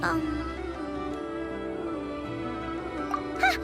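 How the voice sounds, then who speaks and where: A woman's voice: measured pace, lightly acted. A woman voicing a feisty, stubborn little inventor girl in a video game, speaking emphatically over music. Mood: excited. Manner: emphatic, defiant. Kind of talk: animated video game voice acting.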